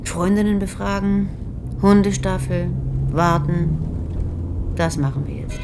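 An actress playing a matter-of-fact police investigator in a radio drama, with a dry, clipped way of talking. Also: female voice, lowish voice, unhurried. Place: acted scene inside a moving car. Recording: clear car noise.

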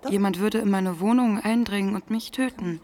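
A woman's voice: medium pitch, moderate pace, warm tone, lightly acted. A woman in a radio drama playing a shaken psychiatric patient who confides frankly and with detachment. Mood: numb recollection. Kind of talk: confessional radio drama account. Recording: faint background ambience.

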